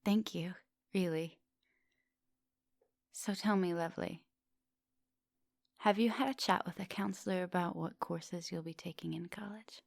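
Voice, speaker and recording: A woman playing a seductive, gentle vampire professor in an intimate ASMR roleplay, murmuring and whispering coaxingly up close. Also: lowish voice, fairly even intonation, a little slow, pauses often, dry recording. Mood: sated, caring. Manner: breathy murmurs and whispers, coaxing pet names. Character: seductive female vampire professor, hungry yet gentle and polite. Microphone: close microphone.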